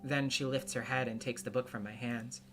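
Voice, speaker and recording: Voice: mid-range voice, steady tone, moderate pace, over music. A solo voice actor giving a dramatic scripted narration of a visual novel.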